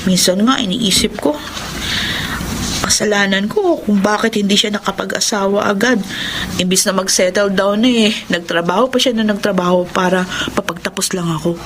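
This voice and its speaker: A woman's voice: medium pitch, moderate pace, background noise, natural voice. An actress playing a worried, persuasive young wife and mother in a radio drama, pleading and insistent. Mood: earnest, persuasive. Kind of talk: Tagalog radio drama acting.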